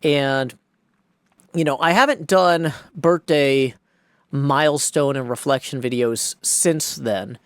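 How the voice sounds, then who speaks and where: A forty-year-old American man hosts a casual vlog in a mid-pitched voice. He talks in a folksy, reflective way and wanders into asides. The recording is close and dry, with hardly any room sound. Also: slow, clear, resonant tone, no echo. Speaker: video creator and host. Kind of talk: casual personal vlog monologue.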